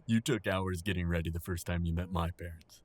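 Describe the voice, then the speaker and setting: An older husband and father in an audio drama, played by a man. His voice is deep and rough around the edges, steady and reassuring, with a gently teasing streak. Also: fairly quick, no echo.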